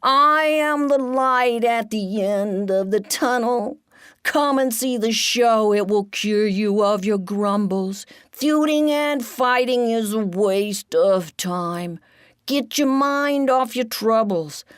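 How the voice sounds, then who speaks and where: A woman voicing Joey, a showy, fun-loving young male pack rat who calls out in a loud, sing-song showman style. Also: fairly high pitch, takes it slowly, smooth, dry recording, clean studio sound, pitched above the performer's own voice.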